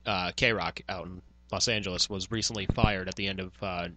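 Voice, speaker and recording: Guy on a podcast, kinda low but punchy bright voice, rambly casual talk, swears a lot. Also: steady tone, normal speed, slight background noise. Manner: profane, rambling storytelling with frequent filler words. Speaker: podcast co-host. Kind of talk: casual banter.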